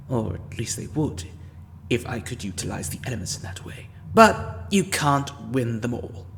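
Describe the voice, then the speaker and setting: A man's voice: medium pitch, a little hiss or hum. A man playing a scheming, self-important male time-meddler in a fan audio drama, speaking with sardonic impatience. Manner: sardonic and impatient, slow deliberate delivery.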